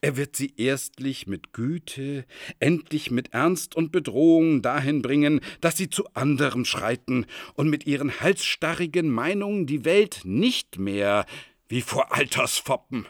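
A man's voice: mid-range voice, expressive, unhurried, no room sound, clean studio sound, natural voice. An actor playing a pompous madman who believes he is Jupiter, declaiming grandly and solemnly in a literary radio drama. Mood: lofty, explaining. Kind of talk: theatrical literary radio drama in archaic German.

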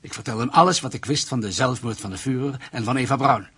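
A man acting in a radio play as an anxious captive ex-Nazi, guarded and deliberate as he pleads and confesses. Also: mid-range voice, fairly bright tone, slightly rough. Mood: grave confession.

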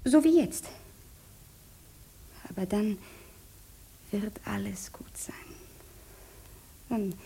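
Radio drama: frightened, foreboding woman, slow and breathy, with a dark, creaky voice. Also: medium pitch, slight background noise, heavily acted, low-quality recording. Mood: whispered dread. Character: frightened woman, tender and foreboding, fearing tomorrow. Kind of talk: German radio drama acting.

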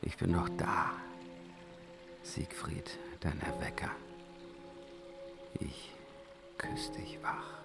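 An actor playing a dying, enraptured hero and lover in a fantasy radio play, breathy and gravelly. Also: male voice, a bass voice, fairly even intonation, drawn-out words, long pauses, over loud music, heavily acted. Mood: dying, tender, enraptured. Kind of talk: radio drama acting.

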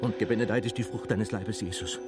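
A devout, bewildered man lost in feverish visions played by an actor, lowish in pitch. He prays and exclaims fervently in radio drama style over clearly audible music. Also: steady tone, fast talker, close to an everyday voice. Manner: fervent, reciting prayers. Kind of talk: German radio play acting.

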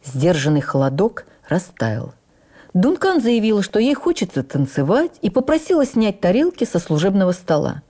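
A woman playing an actress who reverently recalls her life, in an expressive, measured dramatic narration for radio. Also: medium pitch. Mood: admiring, reflective. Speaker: actress reading memoirs on radio. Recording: radio broadcast with faint music.

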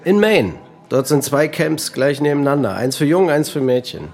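An actor playing a drunk, sentimental family man in a German radio play, talking in halting, repetitive phrases. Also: a little high, animated, a little mellow, faint music behind. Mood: chatty, tipsy.

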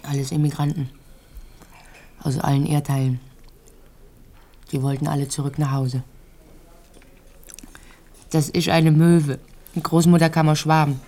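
A woman's voice: low-pitched, flat delivery, a little slow, pauses often. Frank, rambling young woman storyteller. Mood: matter-of-fact, chatty. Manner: rambling storytelling, occasional hushed phrases. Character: talkative young red-haired Ukrainian-born woman, naive, frank. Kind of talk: conversational radio drama monologue.

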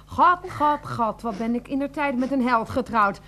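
An actress playing a sarcastic, sharp-tongued wife in a radio play, mocking and defiant, with biting delivery. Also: female voice, fairly high pitch, normal speed. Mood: scornful.

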